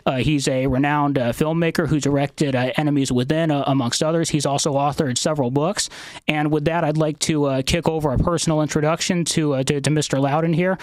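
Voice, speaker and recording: A man's voice: a little high, fairly even intonation, a little fast, no room sound, rough recording. A man hosting a podcast interview, talking in a hesitant way with many fillers and restarts. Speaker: podcast host.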